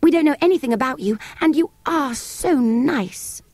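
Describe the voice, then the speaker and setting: A woman voicing an eager, curious young girl in a storybook reading, pleading and lively. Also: high-pitched, moderate pace, no room sound, voice pushed up.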